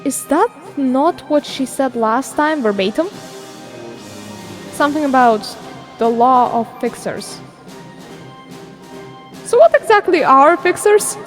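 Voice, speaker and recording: Exasperated blood-fiend daughter, acted by a woman, higher voice, formal, dry, sardonic, game fandub, music behind. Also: animated, takes it slowly, pauses often. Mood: skeptical. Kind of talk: dramatic game fandub acting.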